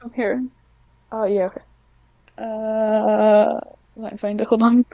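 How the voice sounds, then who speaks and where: A woman's voice: a little high, level tone, slow pace, vocal fry, warm tone, no room sound, muffled recording. A woman chatting casually as a podcast guest, hesitant and self-deprecating, with a dry sarcastic streak. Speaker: podcast guest, ASMR script writer. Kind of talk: casual interview chat.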